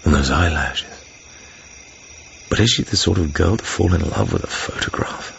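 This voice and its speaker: An actor playing a suave, wry British secret agent with an English accent in a radio drama. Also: male voice, sits low, normal speed, gravelly, fairly bright tone, a little hiss or hum, full character voice. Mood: wry, flirtatious. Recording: muffled radio drama with music.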